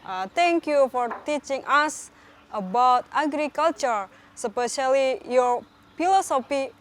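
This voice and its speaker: A woman's voice: high-pitched, lively intonation, unhurried, slight background noise. A woman delivering a spoken thank-you message.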